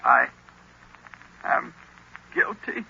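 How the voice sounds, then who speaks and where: An actor playing a distraught young lieutenant held prisoner under a spell, halting and anguished, in old radio drama style. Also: male voice, sharp tone, heavily acted. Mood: dazed, halting. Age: young adult. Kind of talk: 1940s radio drama acting. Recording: noisy, muffled old radio recording.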